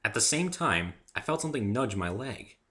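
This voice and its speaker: A man narrates a first-person story in a dramatic reading. His voice sits fairly low, with a bright, forceful tone and very animated intonation. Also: normal speed.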